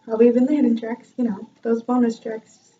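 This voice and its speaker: A woman's voice: a little high, little pitch movement, a little fast, recorded from a distance, slight background noise. A young woman telling a self-mocking story from her music class in a chatty vlog, laughing often. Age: young adult. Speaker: vlogger and music student. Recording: dull lo-fi sound from off-mic.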